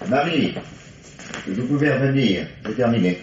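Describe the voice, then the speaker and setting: An actor playing a patient, methodical police commissioner in a radio mystery, measured and probing, courteous but firm. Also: male voice, medium pitch, lively intonation, natural voice. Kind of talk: French radio mystery drama acting. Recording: dull, noisy old radio recording.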